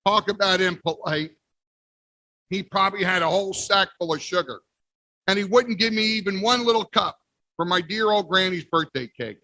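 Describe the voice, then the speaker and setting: A man reading a picture book aloud as the wolf, playful with big pauses. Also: high voice, bright, forceful tone, poor audio. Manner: playful, exaggerated sneezes and storyteller pauses. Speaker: guest reader. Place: library event.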